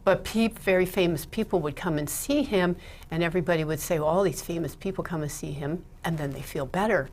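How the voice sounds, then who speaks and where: A woman's voice: lowish voice, a little fast, hardly any pauses, a little mellow, slight background noise. A middle-aged woman from Ontario, Canada, a guest in an interview, talking casually and laughing between her thoughts. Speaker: guest, Mitzvah Technique teacher.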